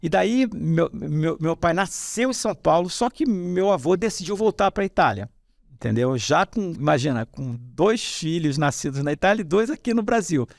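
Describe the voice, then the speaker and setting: A middle-aged man from Rio with a Carioca accent, telling his family's story in a relaxed interview. His voice is gravelly and sits a little high for a man. The sound is dry and close, with no room around it. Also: moderate pace, no echo. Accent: Carioca Brazilian Portuguese. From Rio de Janeiro, Brazil. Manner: rambling, many fillers and restarts, animated when telling stories. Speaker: hematologist guest.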